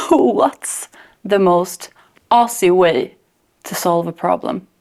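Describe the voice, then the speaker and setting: A woman's voice: on the low side, slow pace, vocal fry, a little mellow. A woman hosting a casual interview. She teases her guest playfully and keeps the tone light and joking. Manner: teasing, playful.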